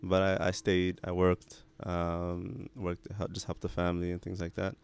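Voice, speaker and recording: A man in his thirties from Canada, a podcast guest telling his story in a relaxed, hesitant way, restarting phrases and adding Arabic religious expressions. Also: low voice, moderate pace, gravelly, clean tone. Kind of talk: casual conversational interview.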